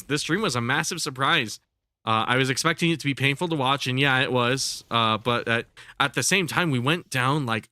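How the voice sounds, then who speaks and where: A man's voice: mid-range voice, sharp tone, no room sound, studio quality. A man commentating casually on a live stream, sounding congested, rambling with lots of fillers and swearing. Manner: rambling, profane, full of fillers and restarts.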